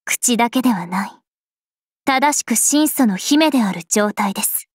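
A woman playing a regal, serene vampire princess of a video game, speaking formally and with measured poise. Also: fairly high pitch, lively intonation, dry recording, clean studio sound. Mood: calm, regal. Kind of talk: scripted mobile game character voice lines.

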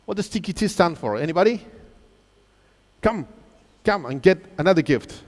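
A man's voice: high voice, normal speed. Man presenting on a reverberant stage. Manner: lively, engaging, asks the crowd questions. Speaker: presenter at a teachers' convention. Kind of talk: live quiz-style presentation to an audience. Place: large auditorium stage. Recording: reverberant hall sound.

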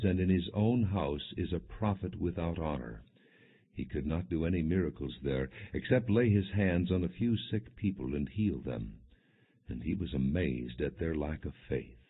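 Very deep-voiced man reading scripture, muffled. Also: husky. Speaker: audiobook narrator. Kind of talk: scripted scripture narration, non-dramatized audio Bible reading. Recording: dull, muffled lo-fi audio.

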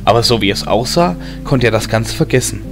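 A male narrator reads a fantasy audiobook in a mid-pitched voice. The delivery is scripted storytelling, with film music playing behind it. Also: lively intonation, fairly quick, vocal fry. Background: background film music.

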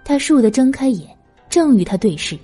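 A woman narrates a novel from a script. Her voice sits a little high but is very soft and dark, with a breathy husk. She reads as an audiobook narrator. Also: sing-song, moderate pace, soft background music.

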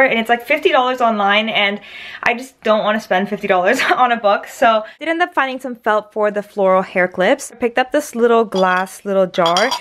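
A woman in her twenties chatting in a casual haul vlog from her bedroom, gushing and chatty with lots of "like". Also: medium pitch. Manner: gushing, chatty, full of "like" and "stunning". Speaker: vlogger. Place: bedroom at home.

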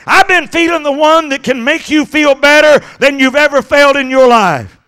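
A middle-aged man preaching an impassioned Pentecostal sermon into a handheld microphone, exhorting and swinging between conversational asides and shouts. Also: extremely high pitch, normal speed. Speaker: evangelist preaching. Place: church sanctuary stage at a pulpit.

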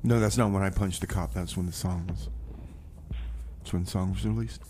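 A man's voice: low voice, steady tone, moderate pace, a little mellow, a little hiss or hum. A man hosting a music radio show in a folksy, rambling, hesitant way, often repeating himself and poking fun at himself. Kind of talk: rambling music radio presenting between songs.

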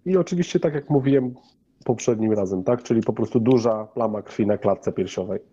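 A male game master with a very dark, soft and somewhat husky voice. He explains the game in a hesitant way, through a dull, muffled microphone. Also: lowish voice, brisk. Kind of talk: narrating a tabletop role-playing game.

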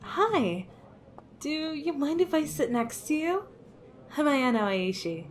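A woman voicing a polite, quietly possessive anime schoolgirl with a composed manner. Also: high voice, very animated, slow pace, heavily acted. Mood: restrained politeness.

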